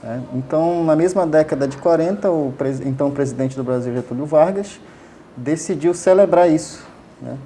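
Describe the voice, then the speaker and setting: Mellow-voiced guy explaining things in an interview, keeps restarting and tagging questions, bit of background hiss. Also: a little high, normal speed. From Amazonas, Brazil. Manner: hesitant, many fillers and restarts, frequent tag questions. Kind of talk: casual explanatory interview answers.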